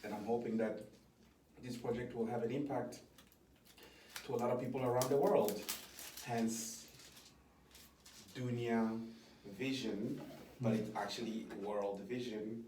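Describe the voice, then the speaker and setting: A man's voice: mid-range voice, fairly even intonation, a little slow, pauses often, mellow. A man in casual conversation, explaining his ideas in an earnest way. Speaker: interviewer and creator of a brand project. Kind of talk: casual chat. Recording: roomy, distant mic, faint background noise.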